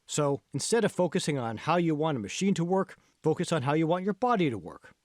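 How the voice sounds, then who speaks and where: A man's voice: on the high side, sing-song, brisk, a little mellow, no room sound, clean studio sound, voice pushed up. A man voicing a patient male fitness mentor in an audiobook, coaxing and instructing with emphasis. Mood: insistent.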